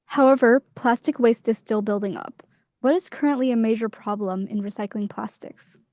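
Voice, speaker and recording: Mellow young woman hosting an interview. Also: a little high, normal speed, no echo, studio quality. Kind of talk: scripted interview questions.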